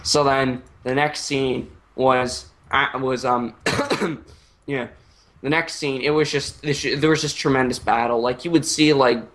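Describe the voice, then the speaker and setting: A young man improvising a comic story in a casual, halting, rambling way with crude slang. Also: medium pitch, fairly even intonation, fairly bright tone.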